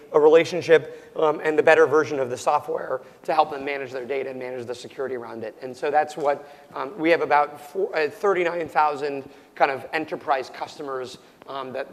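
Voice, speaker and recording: A young man whose voice is going hoarse and cracks now and then, answering interview questions at near-shouting volume and restarting his sentences. His voice sits a little high for a man. The recording carries a long, lingering reverb. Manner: loud, nearly yelling, full of ums and restarts. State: losing his voice, prone to cracking. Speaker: startup founder being interviewed.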